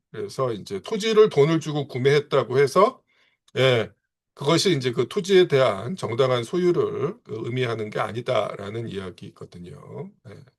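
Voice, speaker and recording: A man giving a seminar lecture, pausing often and filling gaps with short affirmations. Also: medium pitch. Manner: punctuates phrases with frequent filler affirmations.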